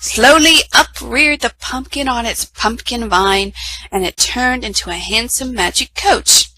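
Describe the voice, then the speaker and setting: A woman reading a fairy tale aloud at story time, in an expressive, sing-song storytelling manner with small slips. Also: on the high side, a little slow, slight background noise, poor audio. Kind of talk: reading a picture book aloud.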